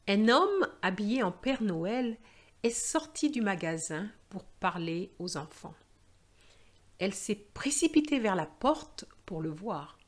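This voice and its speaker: Slow-paced woman teacher reading aloud. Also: mid-range voice. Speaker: French teacher and storyteller. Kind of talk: slow, clear story reading for language learners.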